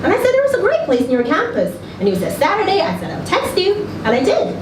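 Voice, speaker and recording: A woman giving a dramatic live reading of a first-person story, with expressive, deliberate phrasing and pauses. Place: indoor live event venue. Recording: roomy, distant mic, loud rumbling background noise.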